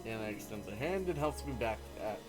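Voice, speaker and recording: A man reading a visual novel's narration aloud as a gaming host, expressive and informal. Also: lowish voice, a little fast. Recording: faint game music behind.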